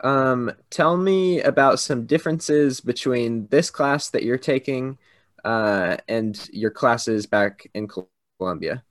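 A patient, encouraging man asks clear interview questions and offers to repeat them. His voice sounds close and dry, with no room around it. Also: medium pitch, takes it slowly, dry recording. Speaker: interviewer, English teacher.

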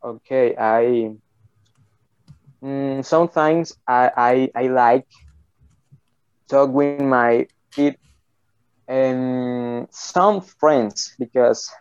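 A slow, hesitant man from Colombia speaks English as a second language, restarting his sentences and apologizing for his words. His voice is smooth and clear. The sound is dry and a little dull. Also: medium pitch, steady tone, darkish tone, dry recording. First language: Spanish. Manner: halting, many restarts and apologies. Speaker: English student. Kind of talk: interview.

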